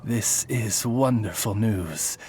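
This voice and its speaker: A man voicing a courtly raven familiar in a video game, a devoted servant with a dignified, formal and measured delivery. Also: fairly low pitch, a little mellow, faint music behind.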